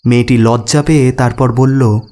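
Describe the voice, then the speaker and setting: A man's voice: medium pitch, fairly even intonation, moderate pace, warm tone. A man narrating a scripted ghost story as a storyteller.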